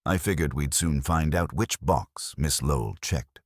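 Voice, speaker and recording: A man's voice: very deep voice, a little slow, slightly rough, dry recording, studio quality. A man reading first-person detective fiction as narrator, in a wry, wisecracking manner.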